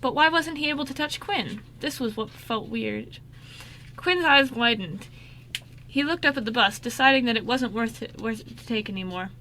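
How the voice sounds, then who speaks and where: Bright, highish-voiced woman narrating. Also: normal speed, slight background noise. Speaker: narrator in a radio show. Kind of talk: scripted story narration, amateur radio drama.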